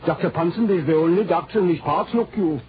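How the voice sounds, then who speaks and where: An actor playing a talkative, respectful villager with a folksy, emphatic manner in a 1940s radio drama. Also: male voice, on the high side, fast, close to an everyday voice. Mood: earnest, confiding. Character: talkative rustic village informant, respectful but indignant. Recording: tinny, noisy old radio recording.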